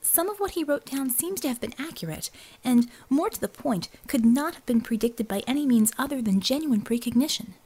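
A woman's voice: high-pitched, animated, fairly quick, hardly any pauses, warm tone, slightly rough, pitched above the performer's own voice. A woman voicing an unflappable, dry-witted heroine in an audiobook, blunt and matter-of-fact.

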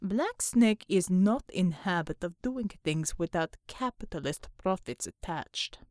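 A woman voicing a wary, dour male Russian soldier in an audiobook, speaking measured broken English with a Russian accent. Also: lowish voice, expressive, darkish tone, no room sound, clean studio sound, pitched below the performer's own voice. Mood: grim, wary.

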